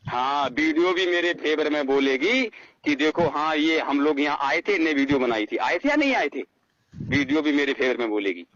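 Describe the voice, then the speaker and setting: A man's voice: fairly high pitch, lively intonation, normal speed, fairly bright tone. A man with a rural North Indian Hindi accent, speaking insistently and repeating himself as he airs a personal grievance over the phone. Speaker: phone caller. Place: recorded phone call. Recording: muffled phone call with hiss and clicks.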